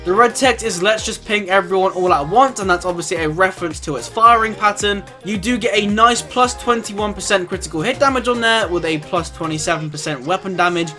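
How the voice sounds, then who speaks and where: A man's voice: high voice, brisk, hardly any pauses, bright, forceful tone, faint music behind. A man giving an informal gameplay review commentary, chatting directly to his viewers. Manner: informal, addresses viewers as guys. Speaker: video game reviewer and host.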